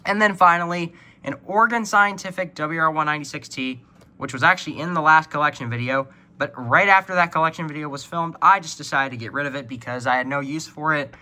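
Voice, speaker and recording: A man's voice: on the high side, quick pace, sharp tone. A man casually walks viewers through a hobby collection in an informal, matter-of-fact way. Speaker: hobbyist video presenter.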